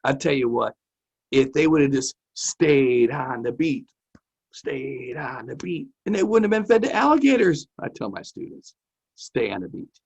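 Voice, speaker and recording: A man's voice: mid-range voice, sing-song, normal speed, vocal fry, slightly rough. A man presenting a lesson as a teacher-host, chatty, folksy and playful, often repeating himself. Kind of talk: casual, chatty educational presenting.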